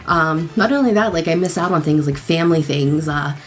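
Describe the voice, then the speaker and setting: A woman's voice: sits low, a little fast, faint music behind. A young woman doing her makeup on camera, rambling casually with lots of fillers, her voice a little nasal after a cold. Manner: rambling, lots of likes and ums. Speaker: beauty vlogger. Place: home at night.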